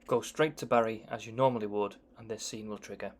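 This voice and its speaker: A man's voice: fairly low pitch, steady tone, brisk. A man giving explanatory gameplay commentary as the narrator of a video game guide. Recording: faint background noise with clicks.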